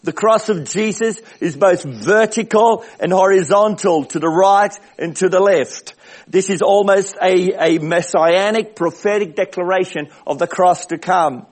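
A man with a big booming voice, preaching a sermon as a visiting speaker in a church, with emphatic repetition. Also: measured pace. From Australia. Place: church service.

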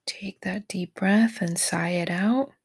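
Slow, breathy woman guiding meditation. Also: mid-range voice. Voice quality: soft, breathy, often near a whisper. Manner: gentle, unhurried, encouraging. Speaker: meditation guide, podcast host. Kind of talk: guided meditation, soothing address to listener.

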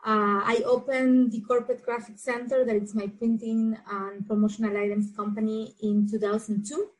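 A friendly woman in her forties from Argentina, a guest on a podcast. Her voice sits a little high, and she talks in a halting way with plenty of fillers. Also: flat delivery, a little mellow, poor audio. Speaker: guest, business owner. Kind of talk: interview conversation.

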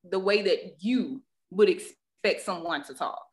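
A woman with a deep Southern accent, an ICU nurse and podcast co-host, telling her story in an animated way and laughing easily. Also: a little slow. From Southern United States. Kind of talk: casual podcast chat.

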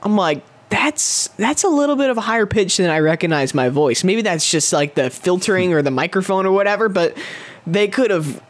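Playful young man, lively voice. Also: high-pitched, a little fast. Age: young adult. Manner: laughs often, playful. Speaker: podcast co-host. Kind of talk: casual chat.

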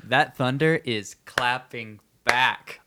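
A man from Texas, co-hosting a podcast, talking in relaxed, playful banter with filler words. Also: mid-range voice, takes it slowly, dry recording, clean studio sound. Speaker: podcast co-host. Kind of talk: casual chat.

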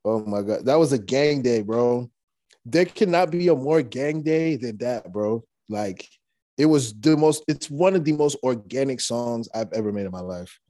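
Mellow-voiced young rapper telling a story. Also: male voice, mid-range voice, normal speed, no echo, studio quality. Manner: relaxed, rambling, many fillers and restarts. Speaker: rapper guest. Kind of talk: casual storytelling interview.

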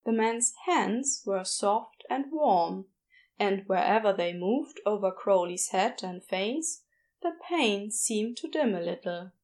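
A woman reading a story aloud as an audiobook narrator, in expressive scripted narration. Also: mid-range voice, slow pace. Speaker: audiobook narrator of fan fiction.

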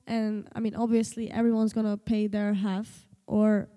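A woman's voice: medium pitch, little pitch movement, moderate pace, warm tone, big-room sound. A teenage girl from Slovenia, a native Slovenian speaker, chatting casually and hesitantly in an interview with many fillers and restarts. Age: teens. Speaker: guest, host's daughter.

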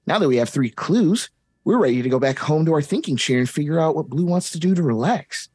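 A chipper kids' show host, read by a woman, sing-song and eager. The voice is low-pitched and a little husky, and it swoops animatedly. The sound is dry and close. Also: expressive, brisk, warm tone, no room sound. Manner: perky, sing-song children's TV host delivery. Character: upbeat kids' show host guiding viewers through clues. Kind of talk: comedic table read of a kids' show script.

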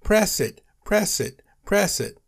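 A man voicing a hyper, bratty child in a story, yelling in repetitive chants. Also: high-pitched, expressive, moderate pace, pitched above the performer's own voice. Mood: manic chanting.

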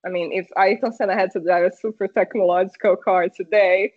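A Brazilian woman speaking English as a second language, a podcast guest in casual chat, pausing often to search for words. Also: mid-range voice, steady tone, no room sound. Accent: Brazilian Portuguese. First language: Portuguese. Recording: muffled lo-fi call audio.

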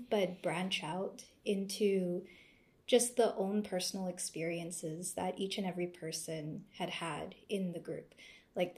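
A woman's voice: medium pitch, a little mellow. Reflective young woman. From Canada. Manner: thoughtful, reflective, with searching pauses. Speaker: interview guest, former seminar student. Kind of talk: casual conversational interview.

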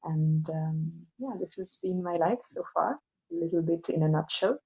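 A woman with an Indian accent talking reflectively as an interview guest, explaining things in a measured way. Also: low-pitched, dark tone, muffled recording. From Assam, northeast India. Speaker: interview guest, yoga teacher. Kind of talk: thoughtful podcast conversation.

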